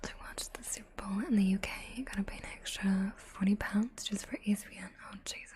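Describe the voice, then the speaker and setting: A woman hosting an ASMR livestream, chatting softly to viewers in a breathy whisper with unhurried asides. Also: mid-range voice, flat delivery. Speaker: livestream host, ASMR artist.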